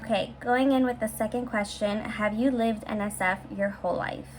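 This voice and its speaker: A young woman in her twenties, born and raised in San Francisco, talks through a viewer Q&A in a chatty, upbeat way, with fillers and quick restarts. Her voice sits at an ordinary middle pitch for a woman. A faint heater hum runs under her in a bedroom. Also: steady tone, poor audio. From San Francisco, USA. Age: 20s. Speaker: vlogger. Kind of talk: casual Q&A vlog.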